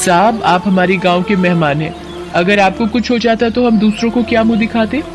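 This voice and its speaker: A woman's voice: medium pitch, fairly even intonation, normal speed, music in the background, close to an everyday voice. A woman playing a naive, good-hearted young village woman in a Hindi cartoon story, speaking simply and earnestly. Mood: modest. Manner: simple, chatty, deferential, earnest. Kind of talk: Hindi animated story voice acting.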